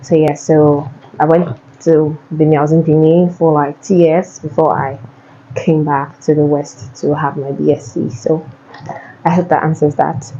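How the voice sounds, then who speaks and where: Nigerian woman, low mellow voice, chatty and repetitive, slightly dull lo-fi recording. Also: level tone, unhurried, slight background noise. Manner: chatty, repeats herself, many fillers. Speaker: vlogger. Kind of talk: casual Q&A chat answering viewers.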